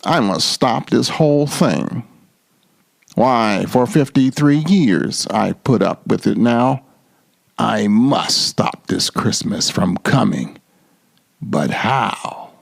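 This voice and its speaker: Storybook villain: sour old scheming male creature, acted by a man, snarling, gravelly, animated. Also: mid-range voice, takes it slowly, no echo, full character voice. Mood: nervous, resentful. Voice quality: growling. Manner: snarling, sneering. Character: sour, scheming old male Grinch who hates Christmas.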